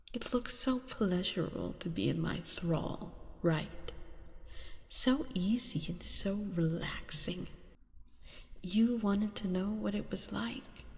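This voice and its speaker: A woman playing a haughty, seductive vampire queen in an ASMR roleplay, teasing and gloating over her captive with deliberate phrasing and breathy whispers. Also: on the low side, a little mellow, in a large hall.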